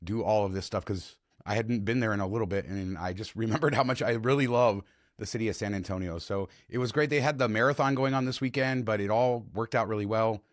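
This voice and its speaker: A man hosting a travel podcast, chatty and enthusiastic, his voice slightly hoarse, on a close podcast mic. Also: lowish voice, fast talker, dry recording. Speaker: podcast host. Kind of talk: casual travel podcast chat.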